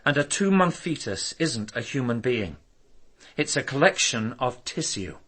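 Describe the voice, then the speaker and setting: An actor playing an arrogant, articulate doctor in a radio drama, indignantly defending himself as a suspect. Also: male voice, medium pitch, sing-song, measured pace, a bit bright, slightly rough, exaggerated voice. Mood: cool, self-justifying. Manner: articulate, indignant, self-justifying.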